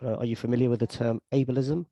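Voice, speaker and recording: A man's voice: medium pitch, steady tone, quick pace, dark tone. A man interpreting live into English on an online meeting, hesitant and full of restarts and stumbles. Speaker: simultaneous interpreter.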